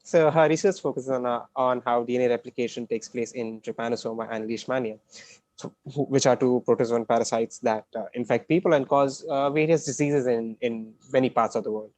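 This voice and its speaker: Halting man hosting, dry sound. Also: mid-range voice, fairly even intonation, a little mellow, no echo, poor audio. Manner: halting, hesitant delivery. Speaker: conference host introducing a speaker. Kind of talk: informal introduction on a live stream. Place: online video call.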